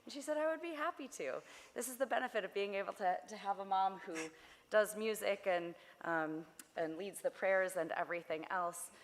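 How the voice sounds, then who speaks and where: A young woman with a slightly hoarse voice, leading a worship service in a chatty, friendly way with many fillers. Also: medium pitch, moderate pace. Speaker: service leader. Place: synagogue sanctuary. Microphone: body mic. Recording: long reverb of a sanctuary.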